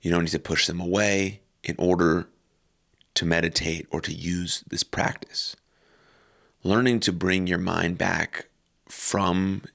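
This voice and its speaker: A man leading a guided meditation calmly and unhurriedly, in short phrases with long pauses. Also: low voice, gravelly.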